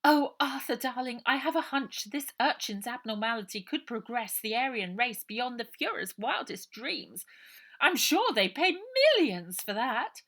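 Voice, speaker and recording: A woman's voice: on the high side, expressive, unhurried, bright, forceful tone, voice pushed up, exaggerated voice. A woman voicing a greedy, scheming married villainess with a treacly, gushing manner in a storybook.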